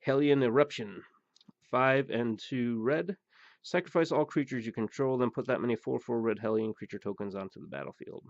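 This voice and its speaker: A man hosting a pack-opening show, rambling through the cards with many fillers and blunt remarks, his voice going hoarse. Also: medium pitch, moderate pace, no echo. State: losing his voice. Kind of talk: casual card-opening commentary. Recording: dull, dry recording.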